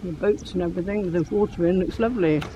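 A woman's voice: lowish voice, rapid-fire, mellow, muffled recording. An older woman making casual remarks on her surroundings during a walk outdoors. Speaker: walking companion. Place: outdoors in seaside town streets. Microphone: camera microphone held by companion. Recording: noisy outdoor camera audio, street ambience.

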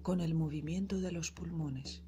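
A woman narrating a scripted guided meditation in a soothing, soft, breathy and unhurried manner. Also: sits low, fairly even intonation. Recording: loud percussive meditation music behind voice.

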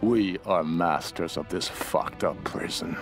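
A man's voice: deep voice, takes it slowly, vocal fry, full character voice. An actor playing a ruthless, cynical cult henchman and survivor, narrating a video game journal in a grim, world-weary way. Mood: grim, menacing. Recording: music behind the voice.

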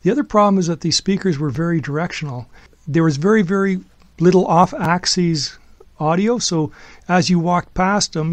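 A man's voice: a little high, no echo. A man giving a casual, explanatory home demonstration in an informal, step-by-step and somewhat hesitant way. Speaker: DIY audio builder presenting a project.

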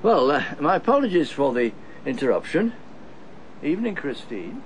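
Knowing, animated Englishman. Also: fairly high pitch, moderate pace, background noise. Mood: knowing, polite. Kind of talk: radio drama acting.

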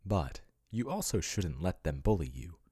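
A man in an ASMR boyfriend roleplay, voicing a male AI chatbot who talks softly and intimately, growing possessive. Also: low-pitched, sing-song, moderate pace. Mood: protective. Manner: soft, intimate, doting, turning possessive. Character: male AI chatbot, soothing then possessive yandere.

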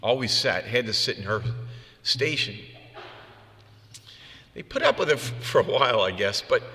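A man's voice: medium pitch, animated, moderate pace, bright, forceful tone. A man preaching a homily as a priest, in a conversational, plain-spoken manner. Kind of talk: homily with personal anecdotes. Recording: long reverb of a large room.

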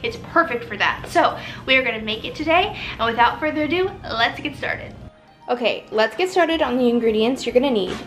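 A young woman chatting casually through a home cooking video, upbeat and full of fillers, recorded at home with soft music behind. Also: on the high side, lively intonation, fairly quick. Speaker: cooking vlogger. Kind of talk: casual cook-along narration. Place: living room at home, indoors.

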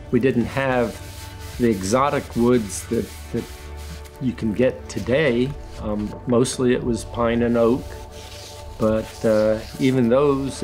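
An older man, a retired engineer, reflecting slowly on his woodworking in a documentary interview, with many pauses and restarts. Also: mid-range voice, vocal fry, a little mellow, rough recording. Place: woodworking shop in a farm building.

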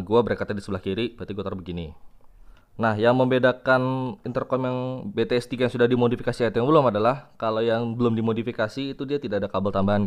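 A man's voice: fairly low pitch, highs cut off. A young man. He talks informally, like a vlogger showing a product to friends. His delivery is chatty and casual, in a home-recorded review style. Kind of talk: casual unboxing and product demo. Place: room at home with tiled floor.

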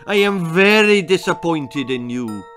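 A man's voice: a little high, animated, measured pace, a bit bright, soft background music, voice pushed up. A man voicing a fussy, chiding Welsh mother in a children's storybook, speaking emphatically. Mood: disappointed, chiding.

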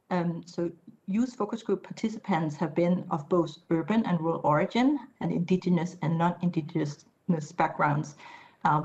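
A middle-aged woman giving a keynote over a video call, speaking in a measured way with frequent fillers. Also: lowish voice, dull audio. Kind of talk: conference presentation. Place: indoor room, video call.

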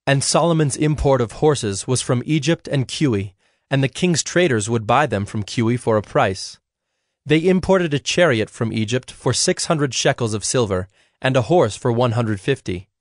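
Dry, measured male scripture narrator. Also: medium pitch, animated, no room sound. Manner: measured, formal.

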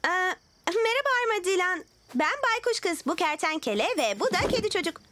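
A woman's voice: very high voice, very animated, a little fast, very noisy. A woman voicing a spirited, sensible little girl superhero in a dubbed children's cartoon. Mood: curious, friendly. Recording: loud music behind the voice.

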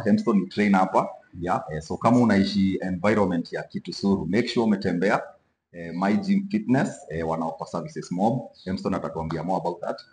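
A young Kenyan man hosting a casual gym interview, relaxed and chatty, peppering his talk with "yeah" and Swahili slang, recorded on a lapel mic in an indoor gym. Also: deep voice, a little hiss or hum. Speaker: host. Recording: echoey gym room.

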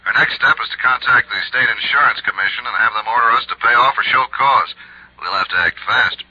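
An American man acting an urgent, businesslike insurance colleague in a 1950s radio drama, speaking briskly and forcefully. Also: mid-range voice, breathy edge, dry recording. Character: urgent, businesslike male insurance colleague reporting bad news. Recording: dull old radio recording.

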